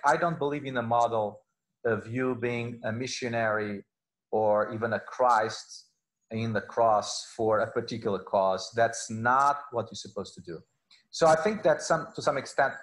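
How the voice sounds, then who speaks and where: A man's voice: mid-range voice, a bit bright, low-quality recording. Middle-aged man with a Portuguese accent. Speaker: law professor, interview guest. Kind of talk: conversational interview answers. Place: indoor room, video call. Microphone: wired earphones on a video call.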